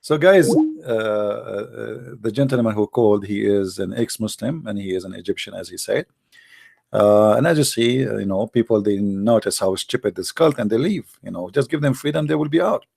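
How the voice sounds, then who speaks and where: Gravelly, mellow-voiced man hosting a call-in show. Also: on the low side, expressive, normal speed. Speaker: host of a religious debate call-in show. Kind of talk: live call-in commentary and polemic.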